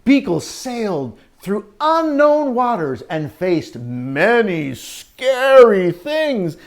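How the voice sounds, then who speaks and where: Animated man reading a slow-paced children's story. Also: sits high. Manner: expressive, drawn-out story lines with exclamations and questions to listeners. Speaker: teacher reading a picture book to young children. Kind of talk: animated read-aloud storytelling with asides to children.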